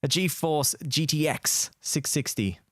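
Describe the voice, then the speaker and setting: A man's voice: on the high side, measured pace, fairly bright tone, dry recording, studio quality. A young Australian man co-hosting a gaming podcast, giving news in a laid-back, matey, casual way.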